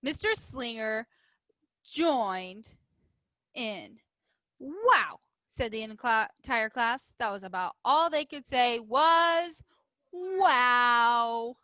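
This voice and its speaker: A woman's voice: on the high side, animated, slow, bright, forceful tone, no echo. A woman teacher reading aloud and leading a writing lesson for young children, explaining simply and asking them questions. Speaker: primary school teacher.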